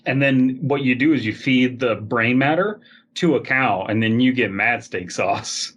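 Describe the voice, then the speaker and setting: A man's voice: medium pitch, measured pace, fairly bright tone, breathy edge, muffled recording. A man co-hosting a comedy podcast, riffing casually in a playful, rambling, mock-explanatory way. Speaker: podcast host.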